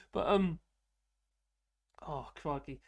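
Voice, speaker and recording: A man from the UK with a fairly high voice, hosting a live stream and rambling casually through viewer comments with frequent chuckles. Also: lively intonation, drawn-out words. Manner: rambling, self-deprecating, chuckling often.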